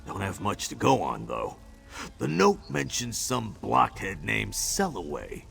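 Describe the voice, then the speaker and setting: An actor playing a tough freed male prisoner in a video game, talking in a dry, joking, gruff way. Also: lowish voice, huge pitch swings, measured pace, fairly bright tone, faint music behind, exaggerated voice. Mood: wry.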